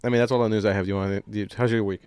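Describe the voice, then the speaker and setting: A man's voice: on the low side, steady tone, rapid-fire, a little mellow, smooth, no echo. A man co-hosting a casual comedy podcast, joking sarcastically and swearing freely as he chats. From Dominican heritage. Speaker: podcast co-host. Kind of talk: casual comedic banter about movie news.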